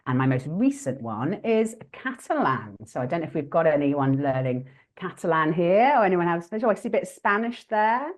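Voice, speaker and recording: A woman hosting an interactive online teaching workshop, talking in a friendly, lively way with many fillers and restarts. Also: lowish voice, moderate pace, few pauses. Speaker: workshop host.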